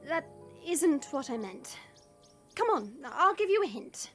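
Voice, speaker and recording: A proud female dragon from a fantasy audio drama , played by a woman. Her voice is high and her diction formal and condescending, as if lecturing lesser creatures. Clear music plays behind her. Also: sing-song, measured pace. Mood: haughty.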